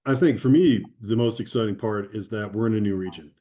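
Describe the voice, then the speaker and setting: Brief: man, low mellow voice, thoughtful and enthusiastic interview guest. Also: a little fast. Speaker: conference guest. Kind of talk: conversational interview.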